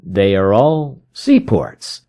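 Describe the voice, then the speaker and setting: Man reading as modest, low-toned detective's companion. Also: wildly swooping pitch, slow pace, warm tone, heavily acted. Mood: puzzled, attentive. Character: detective's male companion, modest, puzzled, attentive listener. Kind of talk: dramatised storybook reading.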